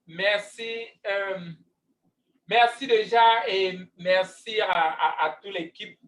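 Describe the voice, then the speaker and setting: High, bright-voiced man on a call. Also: steady tone, slow, reverberant, distant mic, rough recording. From Cameroon. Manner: hesitant, many fillers and restarts. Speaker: guest coach. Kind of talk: online talk on leadership. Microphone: video call.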